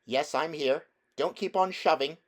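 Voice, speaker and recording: A spirited, bossy young girl from a storybook, voiced by a man. The pitch is lifted high, with brisk, exclaiming phrases. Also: lightly acted. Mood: annoyed. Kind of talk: storybook character reading.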